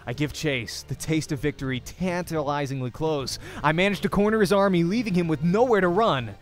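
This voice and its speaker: A man's voice: a little high, a little fast, faint music behind. A young man hosting a let's play, reading a visual novel aloud in the first person with dramatic storytelling.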